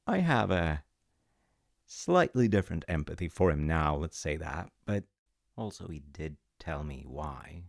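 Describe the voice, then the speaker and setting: A man telling a gentle, rambling story as a comforting dad, with self-mocking humor, close to the mic. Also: a bass voice, sing-song, measured pace, creaky, no echo. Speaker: audio roleplay storyteller playing a dad.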